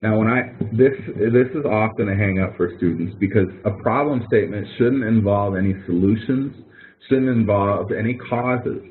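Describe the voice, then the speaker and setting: A man's voice: on the low side, moderate pace. A male instructor giving a webinar lecture in a conversational way, walking through examples and correcting himself as he goes. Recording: tinny phone-like webinar audio.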